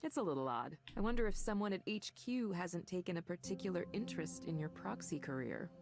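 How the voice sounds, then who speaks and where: An actress voicing a composed, matter-of-fact chief engineer in a visual novel game, explaining things in a measured way. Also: female voice, lowish voice, lively intonation, creaky, over music. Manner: measured, explanatory, defensive of her company.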